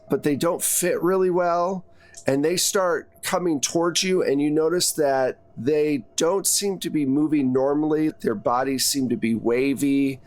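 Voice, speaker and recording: A man speaking as game master, narrating action to players in a conversational way with casual asides. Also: fairly high pitch.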